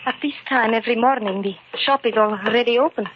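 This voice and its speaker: An actress playing an anxious, devoted shopkeeper's wife in old radio drama style, with a worried, questioning manner. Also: female voice, fairly high pitch, fairly quick, over music, lightly acted. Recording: dull, very noisy old radio recording.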